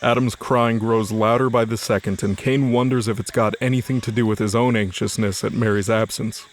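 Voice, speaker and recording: Narrator for a fantasy audio drama: male, lowish pitch, scripted storytelling. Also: steady tone, a little fast.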